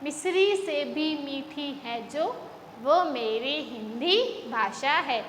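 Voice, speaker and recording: Woman teacher, patient with small children, high voice, echoey room with faint noise. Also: lively intonation. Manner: patient, explains slowly, addresses children as "beta"; reads poem lines slowly and repeats them for the class. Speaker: school teacher. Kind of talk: recorded class lesson with poem recitation.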